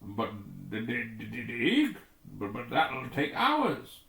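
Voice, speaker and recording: A man's voice: mid-range voice, wildly swooping pitch, slow, fairly bright tone, roomy sound, pitched below the performer's own voice, exaggerated voice. A man voicing a panicky, stammering research scientist in distress in a sci-fi adventure story. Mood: flustered. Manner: stammering, spluttering alarm.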